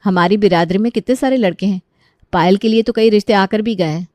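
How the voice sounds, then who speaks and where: A woman's voice: mid-range voice, fast talker, dark tone, no echo, voice pushed down, natural voice. Young daughter-in-law, played by a woman narrator: earnest, polite, anxious to be understood, close-miked domestic drama. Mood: concerned. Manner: polite, reasoning, defensive. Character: earnest, worried young daughter-in-law, respectful but misunderstood.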